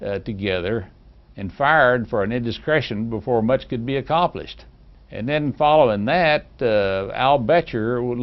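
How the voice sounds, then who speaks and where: A man's voice: fairly low pitch, dull audio. An older man interviewing his former employee, reading a prepared introduction and then asking questions with many fillers. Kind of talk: oral history interview.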